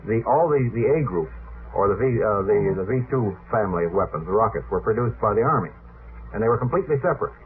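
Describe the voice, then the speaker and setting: A man's voice: lowish voice, expressive, fast talker. Hesitant man on noisy old radio. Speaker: guest expert on rockets. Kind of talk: unscripted technical discussion on a radio panel. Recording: old radio recording, narrow phone-like sound, noisy.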